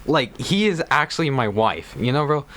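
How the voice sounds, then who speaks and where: A man's voice: mid-range voice, sing-song, moderate pace, fairly bright tone. A man co-hosting, bantering in an exaggerated, teasing bro manner. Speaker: co-host. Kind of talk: joking casual banter.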